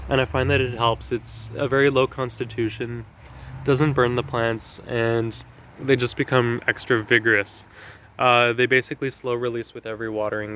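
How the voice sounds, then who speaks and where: Man with slightly raspy allergy voice. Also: medium pitch, fairly bright tone. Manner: chatty, explanatory, with frequent fillers. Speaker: gardening vlogger. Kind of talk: casual garden walkthrough. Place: outdoor garden.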